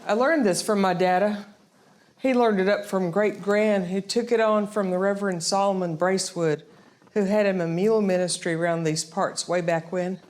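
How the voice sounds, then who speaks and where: A woman's voice: mid-range voice, moderate pace, a little mellow, lightly acted. A woman performing a theatrical monologue as a folksy country preacher's daughter, telling a family story. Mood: folksy, earnest. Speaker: acting student.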